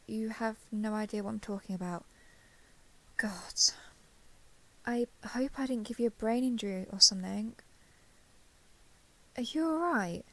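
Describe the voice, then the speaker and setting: A young dragon girl of giant size , acted by a woman, speaks intimately to the listener in an audio roleplay. Her voice has a clear creak at an ordinary female pitch. She is gentle, nervous and apologetic, hesitating and rambling as she goes. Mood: soothing, apologetic.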